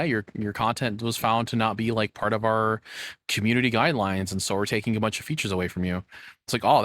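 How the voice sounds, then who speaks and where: A man's voice: fairly low pitch, a bit bright, no room sound. A man doing casual, informal reaction commentary as a streamer, swearing freely. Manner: informal, profane.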